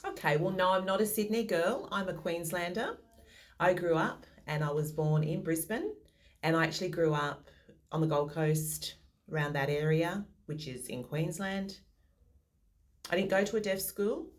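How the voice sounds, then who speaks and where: A woman's voice: on the low side, normal speed. A modest, thoughtful woman answering interview questions over a video call. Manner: modest, thoughtful, some restarts.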